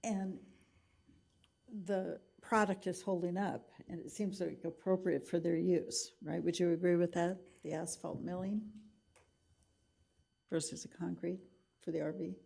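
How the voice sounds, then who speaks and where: A woman chairing a city board meeting, calling motions and votes in a brisk, procedural way. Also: fairly low pitch, steady tone, gravelly, mellow, slightly rough. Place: council meeting room.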